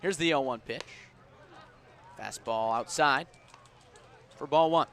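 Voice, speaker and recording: A man doing live radio play-by-play of baseball as the announcer, with a measured delivery that rises on the action. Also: medium pitch, fairly bright tone. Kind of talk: live radio sports commentary. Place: outdoors at a baseball stadium. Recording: outdoor ballpark ambience noise behind.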